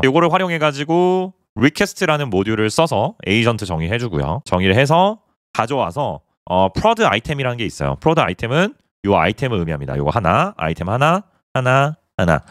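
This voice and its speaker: A man talks through a coding tutorial at a brisk clip, pointing at items on his screen as he goes. His voice moves up and down in a lively way. The sound is dry and close, from a small quiet room. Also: medium pitch, no echo. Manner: explains step by step, pointing things out on screen. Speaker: programming instructor.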